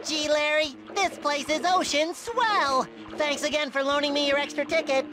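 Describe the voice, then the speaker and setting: A man voicing a naive, eager, childlike sea sponge in a cartoon video game, squeaky, nasal, earnest and chirpy. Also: fairly even intonation, normal speed, over music. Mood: chirpy, pestering. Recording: clearly noisy game audio.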